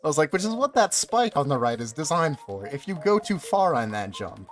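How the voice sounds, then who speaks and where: A man's voice: on the high side, big pitch swings, fairly quick. A man in his twenties chatting casually and rambling as he streams a game, swearing now and then. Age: 20s. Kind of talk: casual livestream chat while playing. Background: game music.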